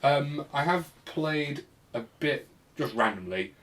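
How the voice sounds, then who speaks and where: A man's voice: a little high, lively intonation, takes it slowly, a bit bright. A man hosting a podcast in casual, rambling, jokey chat with a friend. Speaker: podcast host. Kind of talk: casual chat about retro games.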